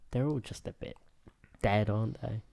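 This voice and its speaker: A man's voice: lowish voice, a little slow, creaky, a little mellow, a little hiss or hum. A man co-hosting a podcast, chatting casually in short offhand remarks. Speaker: podcast co-host. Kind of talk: casual banter.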